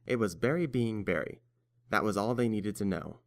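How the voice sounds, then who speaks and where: A man's voice: on the low side, normal speed, gravelly. A man reading scripted story narration as the narrator.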